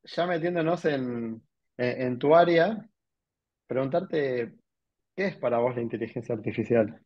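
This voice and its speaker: A man's voice: mid-range voice, unhurried. Argentine man hosting, dull lo-fi audio. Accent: Rioplatense Spanish. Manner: musing, rambling questions with many "¿no?". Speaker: podcast host. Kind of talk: casual interview. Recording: dull lo-fi sound.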